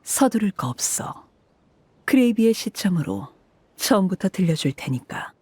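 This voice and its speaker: A voice actress playing a cold, composed woman commander in a video game, speaking in a measured, detached and authoritative way. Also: mid-range voice, big pitch swings, a little hiss or hum, natural voice. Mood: somber, detached. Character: cold, composed commanding woman harbinger recounting a grim past. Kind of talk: scripted video game voice acting. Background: soft background music.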